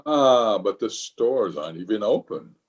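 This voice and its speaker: A man's voice: fairly low pitch, lively intonation, unhurried. A man playing a pompous middle-aged office boss in old-time radio comedy, stammering and hedging as he blusters. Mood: evasive, flustered. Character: flustered, evasive, pompous middle-aged male water department boss.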